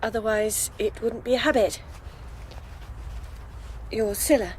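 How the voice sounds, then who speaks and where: An actress playing a polite but uneasy espionage go-between in a radio drama, formal and hesitant. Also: female voice, on the high side, measured pace, darkish tone, slight background noise, natural voice, rough recording. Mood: guarded, businesslike.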